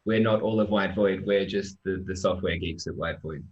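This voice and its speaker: A dull, lo-fi video call carries a very low male voice with an Australian accent. He speaks informally as a guest, presenting like a developer introducing a team. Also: steady tone, normal speed. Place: remote online live stream.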